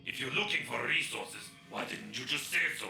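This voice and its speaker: An actor voicing a brash, wisecracking trader in a video game, cocky and teasing, over a radio-style transmission. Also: male voice, mid-range voice, animated, normal speed, creaky, sharp tone, reverberant, soft background music, exaggerated voice. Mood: teasing, affronted. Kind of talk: scripted video game acting.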